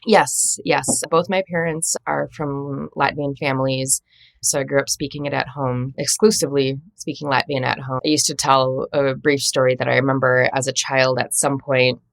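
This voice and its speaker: A woman in her thirties with a low, grounded voice talks through her path into translation. Her answers are reflective and self-deprecating, broken by small laughs and restarted phrases, and the sound is close and dry with no room around it. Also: level tone, normal speed, gravelly, no echo. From United States. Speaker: literary translator and editor, interview guest. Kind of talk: conversational interview answers.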